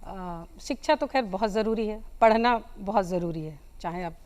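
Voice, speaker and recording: A woman giving unscripted interview answers as a guest, in a hesitant, halting manner. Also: mid-range voice, darkish tone. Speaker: guest folk singer.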